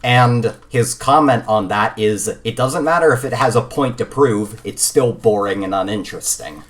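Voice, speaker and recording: A man co-hosting a podcast in casual conversation, feeling his way toward each point in a halting manner. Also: on the low side. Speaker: podcast co-host. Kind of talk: casual chat about games and films.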